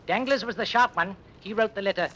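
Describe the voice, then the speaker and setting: An actor playing a gossipy, bitter tailor who tells tales eagerly in a 1930s radio drama. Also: male voice, high voice, fast, bright, forceful tone, muffled recording, slight background noise. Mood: confiding.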